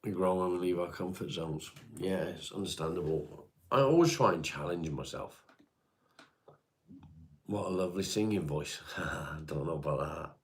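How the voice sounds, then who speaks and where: A man with a very deep, low voice, talking casually into his stream. His nose is stuffed up, and he answers viewers one by one in a relaxed, matey way. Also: moderate pace. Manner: chatty, answers viewer comments one by one, calls people mate. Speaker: livestream host reading chat. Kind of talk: casual livestream chat.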